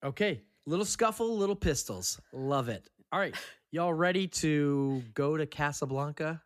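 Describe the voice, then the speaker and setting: A man's voice: fairly high pitch, animated, a little slow, no echo, clean studio sound. A man hosting a casual film podcast, chatting in a jokey, easily amused and affirming way. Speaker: podcast host.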